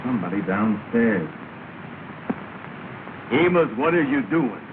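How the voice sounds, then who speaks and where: Pompous bossy dude in a 1930s movie comedy, bad grammar, noisy phone-thin old film audio. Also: male voice, mid-range voice, very animated, slow. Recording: noisy, tinny 1930 film soundtrack.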